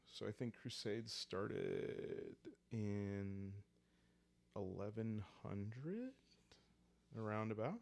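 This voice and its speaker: Male ASMR game host: low-pitched, gravelly, calm and musing, very dry close sound. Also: no room sound. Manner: hesitant, musing, unhurried. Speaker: host of a solo roleplaying playthrough. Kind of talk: relaxed ASMR game narration, thinking aloud.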